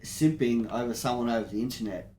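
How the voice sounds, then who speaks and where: A man's voice: mid-range voice, a little fast, mellow, a little hiss or hum. An Australian man co-hosting a podcast, chatting casually and giving blunt, sweary opinions. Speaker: podcast co-host. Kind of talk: casual banter between mates.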